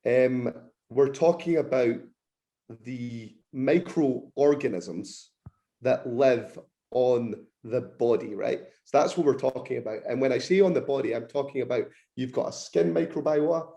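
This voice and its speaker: Croaky, excitable male researcher explaining. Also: mid-range voice, a little slow. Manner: enthusiastic, technical explainer. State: croaky, slightly hoarse throat. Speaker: guest nutrition researcher. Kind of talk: podcast interview, explaining.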